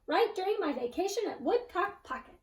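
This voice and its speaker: A woman voicing a sweet, excitable little girl pig in a storybook, earnest and childlike, sometimes wistful. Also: very high-pitched, fairly quick, recorded from a distance, pitched above the performer's own voice. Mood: excited, proud. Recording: roomy echo, away from mic.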